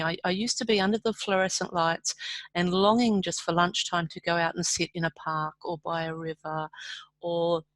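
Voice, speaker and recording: A woman's voice: on the low side, fairly bright tone, no room sound, poor audio. A middle-aged woman with an Australian accent, telling her personal story in a reflective, earnest, conversational way as an interview guest.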